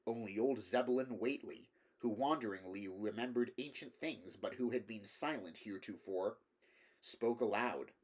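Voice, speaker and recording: A man's voice: fairly low pitch, normal speed. A man reading a horror story aloud as scripted narration. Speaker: audiobook reader.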